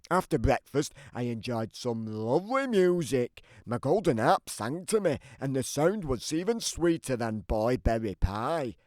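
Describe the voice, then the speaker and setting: A man's voice: mid-range voice, no room sound, clean studio sound. A man voicing a big, grumpy, always-hungry giant who tells his side of a children's storybook in a theatrical, emphatic way. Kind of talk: scripted children's storybook narration.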